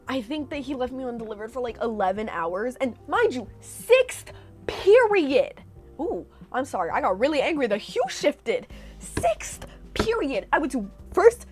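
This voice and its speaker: A young woman from California tells stories in a high, bouncy voice that swoops up and down. She is chatty and animated, dropping sarcastic asides as she reacts to old posts. Soft music plays faintly behind her. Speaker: vlogger. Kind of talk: casual reaction vlog storytelling.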